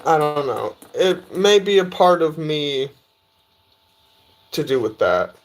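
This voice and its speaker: A man in his early twenties casually chatting on a live stream, reading out and answering viewer questions in a relaxed, easygoing way. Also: on the high side, slow. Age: 20s. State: tired. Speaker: livestreamer reading chat.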